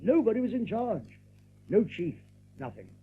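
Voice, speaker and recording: An actor playing a nervous rabbit captain in an audio drama, stammering and evasive, as if hiding something. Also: male voice, sits high, big pitch swings, moderate pace, breathy edge, full character voice. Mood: uneasy, sombre. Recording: muffled lo-fi tape with background noise.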